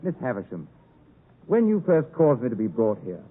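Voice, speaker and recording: An actor playing an earnest, proper young gentleman in a 1950s radio drama, speaking formally and dramatically. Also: medium pitch, huge pitch swings, normal speed. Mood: grave. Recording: muffled narrowband 1950s radio broadcast recording.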